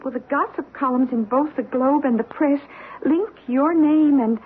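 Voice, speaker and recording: An actress playing a polite, deferential secretary in an old radio drama, concerned and a little hesitant. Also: female voice, high voice, moderate pace, close to an everyday voice. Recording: muffled, faintly noisy old radio recording.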